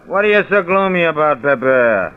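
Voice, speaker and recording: A man's voice: on the high side, big pitch swings, normal speed, clean tone. A man playing a boastful, defiant jailed thief with a cocky, emphatic manner in an old-time radio crime drama. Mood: dismissive. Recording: muffled vintage radio recording.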